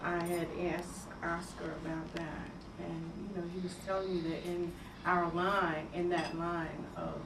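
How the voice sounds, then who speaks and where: A woman talking about her own initiation in a group interview, halting, with many pauses and fillers. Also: fairly low pitch, little pitch movement. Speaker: interviewee, priestess. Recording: echoey room, distant mic, loud noise.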